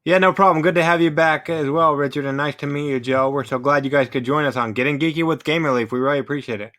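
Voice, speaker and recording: A man's voice: fairly high pitch, quick pace, fairly bright tone. A man hosting a podcast, chatting casually and conversationally with his guests. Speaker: podcast host. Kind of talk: casual interview chat.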